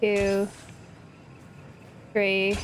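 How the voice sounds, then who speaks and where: A woman's voice: medium pitch, monotone, very slow, slight background noise, rough recording. A woman hosting a casual let's play, commenting on the game and reading its dialogue aloud. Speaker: gaming channel host.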